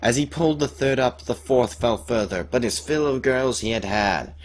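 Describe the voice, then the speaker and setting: A man's voice: medium pitch, animated, moderate pace, a little hiss or hum, voice pushed up, natural voice, rough recording. A man playing a mock-heroic balladeer, reciting a comic rhyming ballad in a pompous, theatrical, emphatic way. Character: mock-heroic balladeer, grandly recounting a young man's misadventure.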